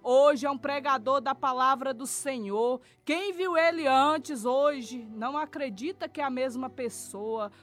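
A middle-aged Brazilian woman from São Paulo state speaks in a high voice over background music. She gives a personal church testimony, earnest and emphatic, repeating phrases for stress and addressing the listener directly. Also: unhurried, few pauses. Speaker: church member giving testimony.

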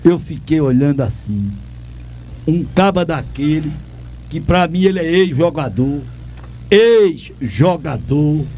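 A man's voice: fairly high pitch, lively intonation, takes it slowly, warm tone, a little hiss or hum. An older man with a Pernambuco accent, a radio host and former sports reporter, ranting with indignant emphasis and lots of repetition. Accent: Northeastern Brazilian, Pernambuco. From Pernambuco, Brazil. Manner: indignant, emphatic, repeats himself. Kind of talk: ranting commentary.

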